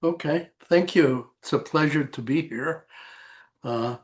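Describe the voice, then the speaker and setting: Slow, rambling man in his eighties. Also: on the high side. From El Salvador. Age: 80s. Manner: slow, rambling, halting with frequent "uh". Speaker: retired radiation oncologist, guest. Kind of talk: reminiscing interview.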